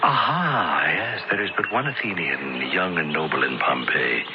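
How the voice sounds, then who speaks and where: A deep-voiced, crafty pagan high priest in an old radio drama. He speaks with deliberate, theatrical insinuation, sounding smooth and powerful. The muffled recording is loud with noise. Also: animated. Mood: insinuating. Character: sly, smooth-talking, powerful pagan high priest, master of dark knowledge. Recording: dull, very noisy old radio broadcast.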